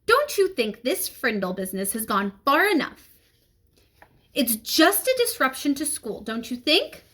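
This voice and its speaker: A woman reading the part of a strict female schoolteacher in a storybook, formal, measured and admonishing. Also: high-pitched, big pitch swings, bright, forceful tone, exaggerated voice.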